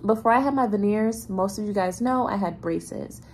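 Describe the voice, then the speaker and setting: A young woman in her twenties chatting casually to a phone camera in her apartment, telling stories with an animated, chatty manner and sounding a little congested. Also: medium pitch, moderate pace, a little hiss or hum. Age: 20s. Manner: chatty, animated storytelling with laughs. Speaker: vlogger. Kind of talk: casual vlog chat to camera. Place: bright apartment room with ceiling fan. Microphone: phone camera held low, close.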